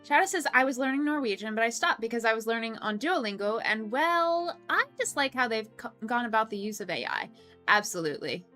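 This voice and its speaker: A young woman streamer chats at her desk mic and reads out viewer comments. Her voice sits a bit high and sounds bright and clear. She laughs easily and fills gaps with ums and restarts, with faint music playing behind her. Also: expressive, fairly quick. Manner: chatty, laughs often, many ums and restarts. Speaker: streamer hosting a coworking stream. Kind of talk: casual chat reading viewer comments.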